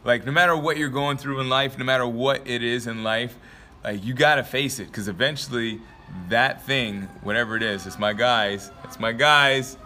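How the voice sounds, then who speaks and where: Young male vlogger, a bit raspy from a dry throat, bright and forceful, loose rambling motivational talk. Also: mid-range voice, normal speed, faint music behind. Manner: rambling, filler-heavy, laughs often. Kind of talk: casual motivational talk to camera.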